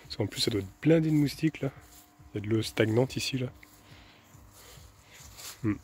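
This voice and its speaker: A man's voice: medium pitch, normal speed, a little mellow. Man, thirties, vanlife vlogger, tired, thinking aloud, inside a moving camper van, engine and road noise. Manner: chatty, thinking aloud with many fillers and small laughs. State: tired after a sleepless night. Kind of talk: casual driving vlog. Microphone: camera mounted on the dashboard.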